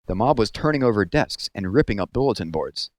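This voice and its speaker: A man with a fairly low voice reads a storybook aloud. His delivery is measured and serious, and the sound is dry, with little room around it. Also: very animated, no echo. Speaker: read-aloud narrator. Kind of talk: storybook narration read aloud.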